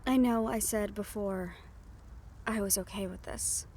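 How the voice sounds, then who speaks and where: A woman playing a gentle, teasing but worried young siren in an audio roleplay, speaking softly and intimately to the listener. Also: mid-range voice, steady tone, unhurried, slight background noise, close to an everyday voice. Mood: anxious, troubled.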